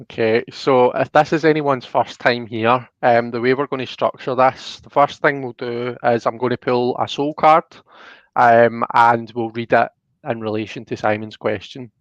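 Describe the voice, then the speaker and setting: A man's voice: medium pitch, little pitch movement, moderate pace, no room sound, lo-fi sound. A man hosting a casual live stream in a chatty, informal, friendly way. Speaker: host.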